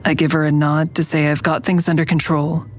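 Woman acting as an uneasy female therapist, low voice, restrained, intimate drama narration, soft music behind. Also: level tone, fairly quick, close to an everyday voice. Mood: tense, subdued. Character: guarded, uneasy female counselor narrating her own story. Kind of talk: naturalistic audio drama, intimate first-person narration.

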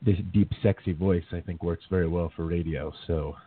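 A man's voice: low voice, fairly even intonation, moderate pace, slight background noise. A man hosting a podcast, joking and self-mocking, his throat slightly sore. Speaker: podcast host. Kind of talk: casual podcast chat. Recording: dry, tinny phone-like sound, a bit distant.

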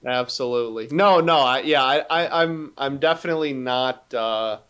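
A man's voice: medium pitch, moderate pace, a bit bright, clean tone. A man in his early twenties co-hosting a podcast, talking casually with many restarts and fillers. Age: 20s. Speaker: podcast co-host. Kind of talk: casual chat.

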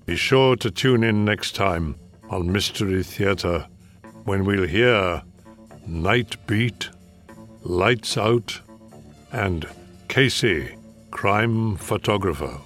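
Slow, deep-voiced older male host. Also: fairly bright tone, faint music behind. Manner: slow, measured, deliberate. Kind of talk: scripted announcing.

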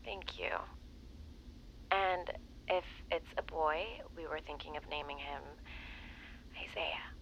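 A woman's voice: low-pitched, slow pace, pauses often, a bit bright, dull audio, slight background noise, full character voice. An actress playing an affectionate, teasing young mother and close friend, through a telephone filter in an audio drama. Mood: tender.

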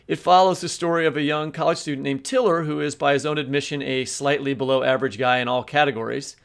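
A man's voice: fairly high pitch, fairly even intonation, fast, gravelly, fairly bright tone, smooth. A man co-hosting a podcast in a casual, conversational style. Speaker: podcast co-host.

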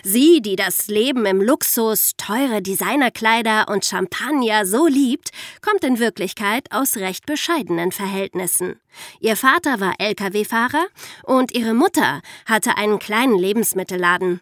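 A woman co-hosting a scripted true-crime podcast, narrating in a lively, lightly playful way. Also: medium pitch, measured pace, dry recording, studio quality. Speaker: podcast co-host.

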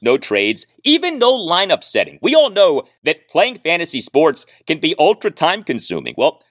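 Male American sports podcaster, lower-pitched, emphatic, swinging intonation, close dry studio sound. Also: a bit bright, no room sound. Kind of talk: scripted sports commentary and ad reads.

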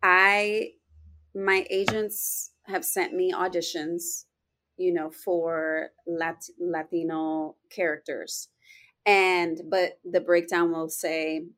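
A young woman with a Puerto Rican accent, an actress speaking earnestly in a casual interview, filling her talk with "you know.". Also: fairly low pitch. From Puerto Rico heritage. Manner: earnest, animated, many "you know" fillers.

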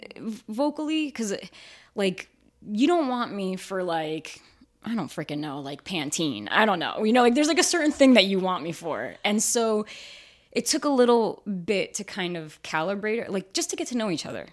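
A woman with a raspy, fairly deep voice, talking candidly and chattily as an actor guest in an interview, with many fillers. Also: sing-song, moderate pace. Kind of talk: casual interview chat.

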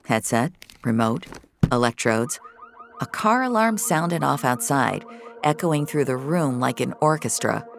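A woman with a very deep voice narrates a dramatic sci-fi story. Her delivery is slow and creaky, over faint music. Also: dry recording, clean studio sound. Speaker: narrator of sci-fi audio fiction.

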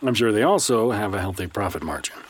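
Sarcastic, lower-voiced man in audio drama. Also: lively intonation, fast, husky. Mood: sarcastic, irritated. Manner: dry, sarcastic, reluctant. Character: skeptical, sarcastic therapist, bitter grown son. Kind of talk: full-cast science fiction audio drama acting.

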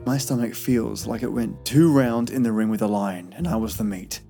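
A man's voice: on the low side, normal speed, gravelly, mellow. A man hosting a podcast, giving a chatty, playful and wry scripted introduction. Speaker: podcast host. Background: faint music.

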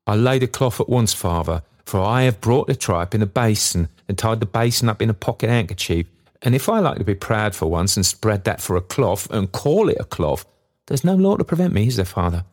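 A man's voice: lowish voice, animated, fairly quick, a little mellow, voice pushed up, close to an everyday voice. A man voicing a cheerful, doting young working-class daughter in a storybook, with eager, affectionate chatter. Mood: exultant, chatty. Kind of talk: storybook character dialogue.

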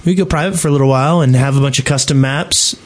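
A man's voice: medium pitch, very fast. A man co-hosting a podcast, talking casually with others about online gaming. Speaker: podcast co-host.

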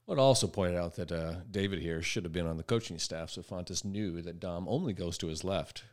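A man's voice: deep voice, fairly quick, a little mellow. A man in a casual soccer discussion, speaking as one of a podcast panel.

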